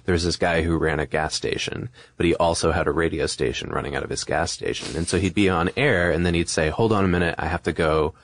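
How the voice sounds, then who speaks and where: Low-voiced American man, casual podcast co-host. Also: fairly even intonation, no room sound. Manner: informal, halting, thinks aloud with repeated phrases. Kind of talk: casual conversational explainer.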